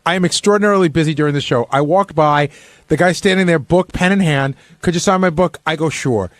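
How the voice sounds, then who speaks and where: A man with a somewhat high voice talks casually on air in a very dry room sound. He gives firm opinions, repeating words as he builds them. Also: brisk, no room sound. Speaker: radio show producer.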